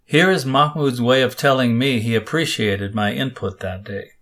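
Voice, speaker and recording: A man hosting a podcast, narrating an introduction in a steady, scripted read. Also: medium pitch, moderate pace. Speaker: podcast host.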